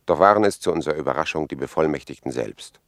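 An actor playing a grave expedition member who gives a formal, measured eyewitness report in a 1950s German radio drama. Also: male voice, very deep voice, animated, very noisy. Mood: tense, descriptive.

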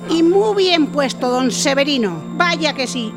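A woman's voice: high-pitched, animated, a little slow, over music. A woman acting an obliging, cheeky office secretary in an audio drama. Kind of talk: Spanish audio fiction acting.

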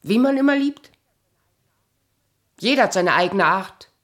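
A woman playing a proud, bitter abandoned wife in a radio drama, sharp-tongued, imperious and sardonic in a theatrical style. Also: mid-range voice, lively intonation, a little slow. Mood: bitter, reflective.

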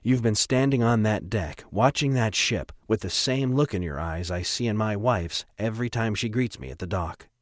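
A man voicing a steady, kindly, seasoned sea captain in an audiobook, plain-spoken and reassuring. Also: lowish voice, moderate pace, few pauses, no room sound, studio quality, lightly acted. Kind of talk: audiobook character acting.

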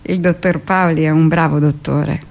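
An actress playing a brusque, weary middle-aged hospital midwife in a colloquial, scornful radio monologue. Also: female voice, low voice, fairly even intonation, normal speed, vocal fry, a little mellow. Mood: resigned, matter-of-fact. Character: brusque, weary 45-year-old hospital midwife, dismissive and self-justifying.

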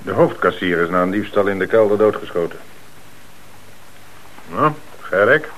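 An actor playing a brisk, authoritative police commissioner in a radio play, on a hissy old recording. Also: male voice, sits low, lively intonation, fairly bright tone, dull audio. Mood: stern questioning. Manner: authoritative, methodical.

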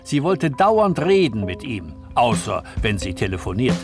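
Radio drama narrator, lively intonation over music. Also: male voice, medium pitch, unhurried. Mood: measured storytelling. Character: measured storyteller narrating a detective novel.

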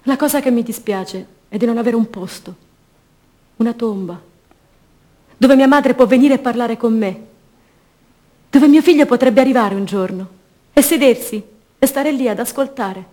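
A woman's voice: fairly high pitch, normal speed, long pauses, dark tone, natural voice. An actress playing a dead young mother, one of the disappeared, wistful and tender, in a radio theatre monologue. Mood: mournful, tender.

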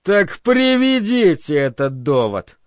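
A man's voice: high voice, lively intonation, unhurried, no room sound. A man voicing a pompous, severe male director who passes judgement majestically, in an audiobook. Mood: commanding.

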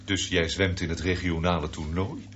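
A man's voice: sits low, steady tone, normal speed, lightly acted. An actor playing a hesitant, placating middle-aged father in a radio drama. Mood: hesitant, proud. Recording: muffled radio drama with faint hum.

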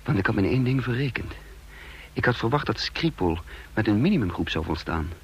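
Commando leader, male, deep, creaky, hushed, clipped orders, tense, suspenseful radio play narration. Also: expressive, brisk, a little hiss or hum. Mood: grave, suspenseful.

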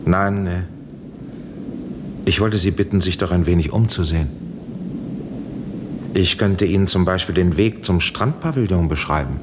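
Male actor as uneasy professor, low voice, formal, hesitant, probing, radio play. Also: normal speed, long pauses, natural voice. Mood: hesitant, polite. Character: grave professor, uneasy man in charge of secret experiment. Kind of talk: German radio play acting. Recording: 1970s radio drama with clear background noise.

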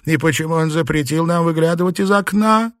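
A man's voice: a little high, a little fast, no echo, studio quality, pitched above the performer's own voice, close to an everyday voice. A man voicing a deferential old female servant who speaks meekly and soothingly.

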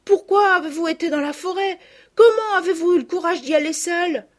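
A woman voicing excited young girls in an audiobook, with shrill, eager questions. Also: a little fast.

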